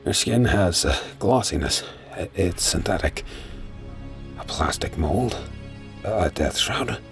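A man narrating a fan audio drama in first person, measured and brooding. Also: low voice, animated, soft background music.

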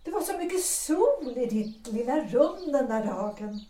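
An actress playing an ardent, earnest former opera singer in love, speaking with dramatic romantic phrasing in a period audio drama. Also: female voice, high voice, lively intonation, dark tone, slight background noise. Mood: tender, reminiscing. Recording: roomy, distant from the mic.

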